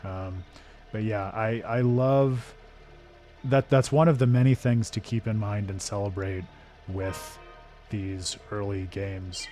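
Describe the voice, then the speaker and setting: Hoarse guy streaming a game, lowish voice, rambly and thoughtful, faint music under him. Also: steady tone, slow pace, warm tone. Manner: thoughtful, digressive, with frequent restarts. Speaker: streamer and host. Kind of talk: live game-stream commentary and analysis.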